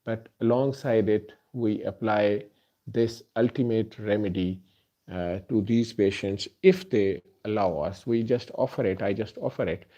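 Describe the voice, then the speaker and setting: A man whose first language is Urdu, a doctor, earnestly addressing listeners in a halting way with many fillers. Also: on the low side, warm tone. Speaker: doctor and healer. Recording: dull lo-fi recording.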